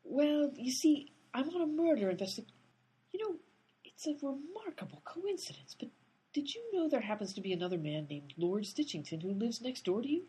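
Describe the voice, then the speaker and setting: An actress in a radio comedy playing an exasperated female amateur sleuth who talks in a brisk, bossy way. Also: sits high, very animated, mellow, breathy edge, heavily acted, rough recording. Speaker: lead character, amateur sleuth. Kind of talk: comic radio play acting.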